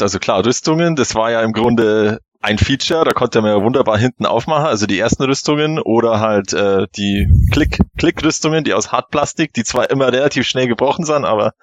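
Guy on a podcast panel just chatting about old times, average pitch, very dry sound. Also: fairly quick, no echo. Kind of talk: casual reminiscing chat.

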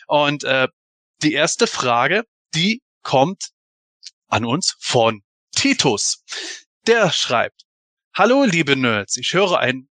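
Bright, forceful male podcast host. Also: on the high side, no echo. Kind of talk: casual podcast moderation.

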